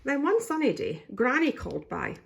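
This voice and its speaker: A woman's voice: high voice, big pitch swings, normal speed, gravelly, slight background noise. A woman narrating a children's story expressively as a storybook reader.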